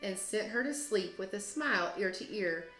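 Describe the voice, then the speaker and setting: Bright-voiced woman teacher in an echoey room. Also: medium pitch, animated, moderate pace, soft background music. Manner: encouraging, self-deprecating. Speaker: second grade teacher, guest reader. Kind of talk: read-aloud storytelling and casual explaining for children.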